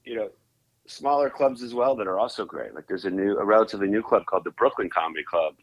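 A man's voice: on the low side, expressive, fast, low-quality recording. A young Canadian-born man, a comedian, chatting casually in an interview with many fillers and restarts. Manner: rambling, restarts often with fillers. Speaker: comedian guest.

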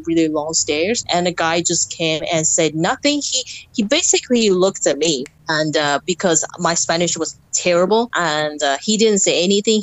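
A woman's voice: fairly low pitch, rough recording. A woman co-hosting a casual podcast, speaking reflectively and answering supportively. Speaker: podcast co-host. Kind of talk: casual chat.

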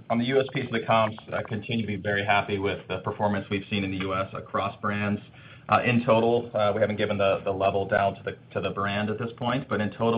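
Fast-talking low-voiced male executive. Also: a little hiss or hum. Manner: brisk, with filler uhs and repeated words. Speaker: company executive. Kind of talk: earnings call answers. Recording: muffled conference call line.

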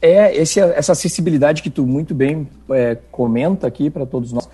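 A man's voice: on the high side, moderate pace, dark tone, slightly rough. A man from southern Brazil with a Rio Grande do Sul accent, a guest in a radio interview, speaking in a friendly way and addressing the host by name. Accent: Rio Grande do Sul Portuguese. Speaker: guest, tech executive and wine student.

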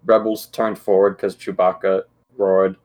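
A man's voice: deep voice, little pitch movement, unhurried, darkish tone. A man co-hosting a podcast, casually explaining film details to friends. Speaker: podcast co-host.

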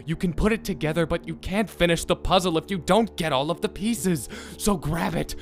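A man playing an intense mythology professor in an audio drama, telling stories with deliberate, weighted phrasing. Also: fairly high pitch, natural voice. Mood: intense, urgent. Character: mythology professor, grave storyteller turning frantic and obsessive. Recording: staged old classroom recording, music underneath.

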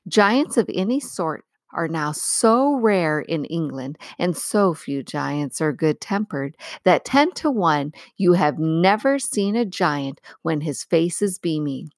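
A woman narrating a storybook as an audiobook reader, with measured, expressive phrasing. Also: low-pitched, dry recording, clean studio sound.

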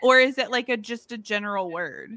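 Bright, excitable woman podcast host. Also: medium pitch, fairly quick, no room sound. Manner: chatty, excitable, stumbles over words. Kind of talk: casual podcast chat.

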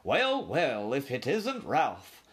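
A man's voice: medium pitch, wildly swooping pitch, slow, a bit bright, voice pushed up, exaggerated voice. Man doing a blunt, hearty old uncle mouse. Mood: jovial. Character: blunt, tactless older uncle mouse, male, jovial.

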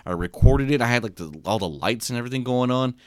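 A man's voice: mid-range voice, expressive, fast talker, no echo, studio quality. A man co-hosting a podcast in casual chat, sleepy after a short night, rambling with many restarts and repeated words. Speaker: podcast co-host. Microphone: podcast mic.

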